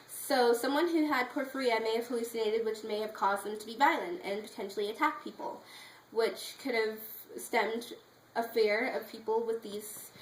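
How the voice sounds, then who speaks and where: Higher-voiced woman presenting in an echoey room. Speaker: presenter giving an informative speech. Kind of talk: prepared informative speech with slides. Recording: echoey room with faint background noise.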